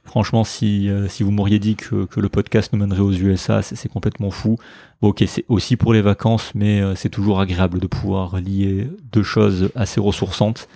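A man's voice: fairly low pitch, level tone, normal speed, gravelly, warm tone, dry recording. A young man hosting a French podcast interview, speaking with enthusiasm and frequent restarts. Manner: enthusiastic, with many fillers and restarts. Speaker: podcast host. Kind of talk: conversational interview and sponsor read. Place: visiting Harvard, Boston.

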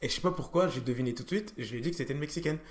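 A man's voice: fairly high pitch, very fast, echoey room. A young French man hosting a casual chat, hesitant and full of fillers and restarts. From France. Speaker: podcast host.

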